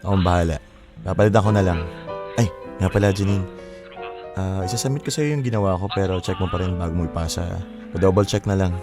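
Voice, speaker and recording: Man acting as exasperated Filipino drama boyfriend, soft music behind. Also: low-pitched, quick pace. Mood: friendly, casual. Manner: conversational, mixes Tagalog and English. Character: exasperated boyfriend working from home, pleading for peace.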